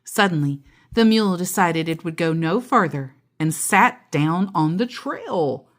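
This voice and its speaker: A woman tells a children's story in an expressive read-aloud style. Her delivery is slow and highly animated in pitch, and she slips into casual asides as she goes.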